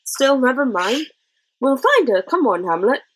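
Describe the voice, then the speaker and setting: A woman voicing a quick-witted, cheeky boy in a storybook, brisk and chivvying. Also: sits high, sing-song, pitched above the performer's own voice, exaggerated voice.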